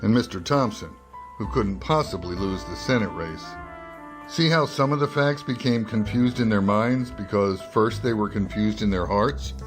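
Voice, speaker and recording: Radio-play investigative reporter, male actor: low-pitched, wry, hard-boiled and matter-of-fact, over a music bed. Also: normal speed, close to an everyday voice. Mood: wry narrating. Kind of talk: old-time radio detective drama acting and narration.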